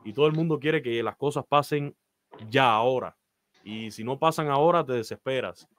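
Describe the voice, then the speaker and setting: A man's voice: medium pitch, moderate pace, a bit bright. A man in his thirties with a Cuban Spanish accent, a baseball announcer talking earnestly about his career and giving advice on a video call, with frequent "eh" fillers. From Cuba. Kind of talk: interview guest telling his career story. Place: small room, indoors.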